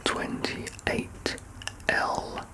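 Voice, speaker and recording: A man in his thirties doing an ASMR medical exam roleplay as a doctor, soft-spoken, reassuring and explanatory. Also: drawn-out words. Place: small curtained indoor room.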